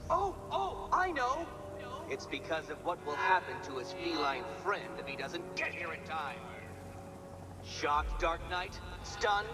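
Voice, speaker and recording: An actor playing a gloating, egotistical genius villain who talks in a pompous, taunting, theatrical way. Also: male voice, a little high, very animated, a little slow, over music.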